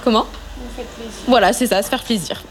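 A woman's voice: on the high side, normal speed. Casual woman with salon hum behind. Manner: casual, many fillers. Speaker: salon client interviewed. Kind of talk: radio interview.

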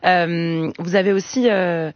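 A woman's voice: lowish voice, steady tone, slow pace, no room sound. Hesitant radio talk show host woman. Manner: hesitant, with many fillers. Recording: dull lo-fi radio studio sound.

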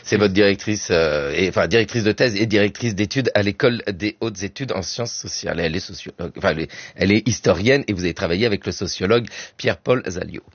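A man with a low, husky voice hosts a radio interview and calmly sums up what his guest says. The sound is dry and a little muffled. His delivery is unhurried and steady. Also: dry recording. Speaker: radio host.

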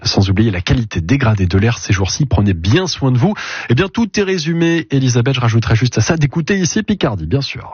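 A man's voice: medium pitch, very animated, quick pace, a little mellow, husky, soft background music. A man presenting a morning radio show, reading listener messages and running a contest in a chatty, upbeat way. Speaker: radio host. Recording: lo-fi radio broadcast.

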